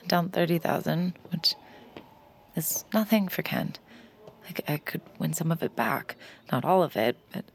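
An actress playing an anxious, smitten poker player with a secret gift, voicing her inner thoughts in breathy, self-scolding asides in an audio drama. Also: fairly low pitch, lively intonation, normal speed, a little hiss or hum. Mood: dejected. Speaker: lead character in a fiction podcast. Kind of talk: intimate inner-monologue audio drama acting.

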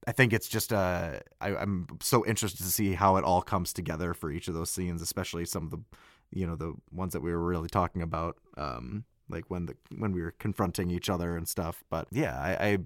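Male guest voice actor, very low register, dry sound, enthusiastic, halting casual chat. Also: dry recording.

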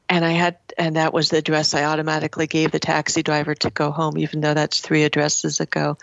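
A woman's voice: fairly low pitch, no room sound, dull audio. A middle-aged woman speaking as a writer in a reflective literary interview, articulate, building long lists of phrases. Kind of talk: reflective literary interview, reading from own memoir.

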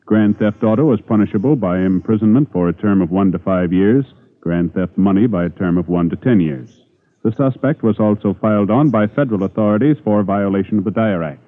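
Formal low-voiced vintage radio announcer, male. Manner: formal, official, measured phrasing. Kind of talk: 1950s radio scripted narration. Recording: tinny 1950s radio with music.

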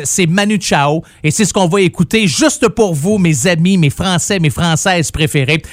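A man's voice: sits high, fairly bright tone, no room sound, clean studio sound. A middle-aged man with a Québécois accent hosting a rock music radio show, chatting in a casual, playful, rambling way. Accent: Québécois French. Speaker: radio host.

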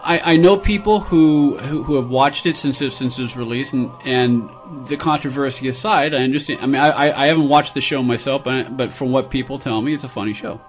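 A man hosting a radio talk show, speaking in halting bursts and repeating words as he thinks. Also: medium pitch, faint music behind. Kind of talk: casual chat, also reading an ad.

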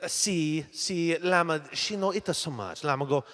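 A man's voice: a little high, moderate pace, fairly bright tone, lightly acted. Man putting on a melodramatic Italian ghost in long reverb. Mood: boastful. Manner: broken English, theatrical rambling storytelling. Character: hungry, passionate Italian man's ghost, melodramatic. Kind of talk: improvised comedy character.

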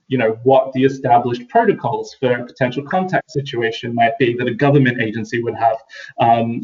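A man, a podcast guest who talks with enthusiasm, halting and restarting often. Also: medium pitch, a little mellow, a little hiss or hum. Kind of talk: casual podcast chat. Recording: muffled, distant from mic.

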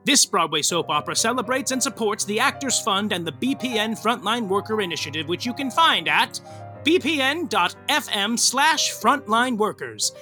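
Flamboyant male narrator, bright and lively. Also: high voice, normal speed, faint music behind. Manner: playful, flamboyant delivery. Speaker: narrator reading end credits. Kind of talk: theatrical credits read-out.

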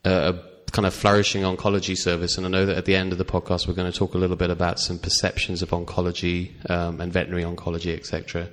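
A man with a deep, low-pitched voice hosts an interview podcast. He talks in a relaxed, chatty way, full of asides and hedging phrases. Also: racing, hardly any pauses. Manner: casual, chatty, many fillers and asides. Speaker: podcast host, veterinarian.

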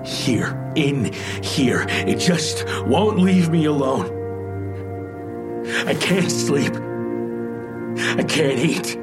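An actor playing a guilt-ridden, lovesick, weary man in an audio drama, speaking in a halting, subdued, confessional way. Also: fairly high pitch, lively intonation, loud music behind the voice. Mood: haunted, weary. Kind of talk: naturalistic audio drama acting.

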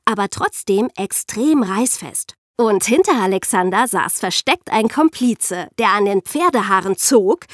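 A woman with a medium-pitched voice tells history as a podcast presenter would. She speaks in a conversational, explanatory storytelling style. The sound is dry, with no room echo.

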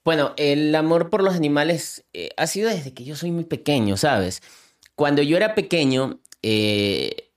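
A young adult man, telling his own story as an interview guest. He speaks earnestly and from the heart, often restarting or repeating words. His pitch sits in the ordinary middle range. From Ecuador, coastal Manta. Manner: earnest, heartfelt, repeats himself when emotional. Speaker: animal rescuer and content creator.

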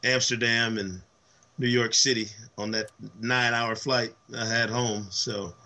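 A man with a slightly hoarse, worn voice co-hosts a casual sports podcast. He tells stories with animated enthusiasm, full of fillers and restarts, at an everyday middle pitch. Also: unhurried. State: voice worn from travel. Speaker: podcast co-host.

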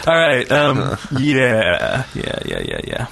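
A man's voice: mid-range voice, huge pitch swings, takes it slowly, vocal fry, a bit bright, a little hiss or hum. A man co-hosting a podcast in casual banter with his fellow hosts. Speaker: podcast co-host.

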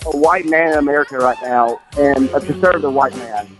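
A man's voice: mid-range voice, fairly quick, faint music behind. A nineteen-year-old man calling in to a radio show, chatting casually. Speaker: caller. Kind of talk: casual chat.

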